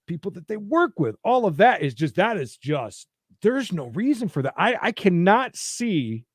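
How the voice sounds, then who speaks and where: A man's voice: high-pitched, no echo, studio quality. A man co-hosting a court commentary live stream, speaking emphatically and casually and often restarting his sentences. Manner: emphatic, repeats himself, halting restarts.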